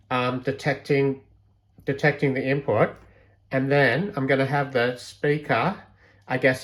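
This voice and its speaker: A man's voice: medium pitch, poor audio. A man presenting a homemade electronics project in an informal, unscripted way, hesitantly thinking aloud as he goes. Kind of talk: casual unscripted project walkthrough.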